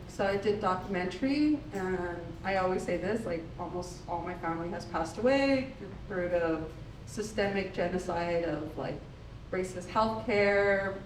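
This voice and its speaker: Loud-voiced woman in an echoey gallery. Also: on the low side, a little hiss or hum. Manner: casual, searching, with many fillers. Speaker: exhibiting artist on a panel. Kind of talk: panel artist talk. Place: art gallery. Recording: echoey gallery, mic far off.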